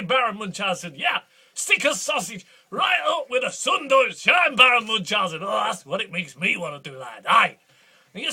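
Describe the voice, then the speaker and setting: A man playing a boastful, belligerent duke in a rambling, bombastic comic monologue, with a husky voice. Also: high voice, bright, forceful tone, exaggerated voice. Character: boastful blustering male Scottish duke, belligerent. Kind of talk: improvised comic character monologue.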